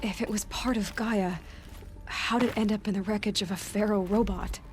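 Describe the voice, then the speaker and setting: A young actress playing a young female hunter in a video game, speaking quietly and questioningly. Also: medium pitch, steady tone, normal speed, lightly acted. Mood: wondering. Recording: game audio with loud music.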